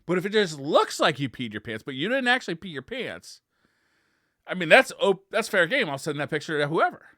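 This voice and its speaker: Animated, bright-voiced middle-aged man, podcast co-host. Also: a little high, brisk, no echo, studio quality. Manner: self-deprecating, readily amused. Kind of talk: casual chat and storytelling.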